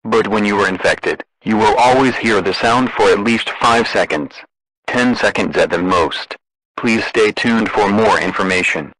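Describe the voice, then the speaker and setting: A man's voice: lowish voice, moderate pace, background noise. A man delivering a scripted emergency broadcast as an announcer, in a formal, impersonal way.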